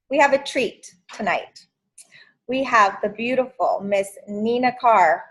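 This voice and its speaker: A woman's voice: a little high, poor audio. A woman in her fifties hosting a sales training video call, chatty and encouraging. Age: 50s. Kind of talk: casual video call meeting.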